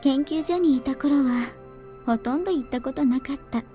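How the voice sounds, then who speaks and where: Woman acting a high, mellow, frail girl. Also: fast, natural voice. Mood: wistful. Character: frail, gentle ailing girl, quietly cheerful. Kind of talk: Japanese visual novel voice acting. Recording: game music behind the voice.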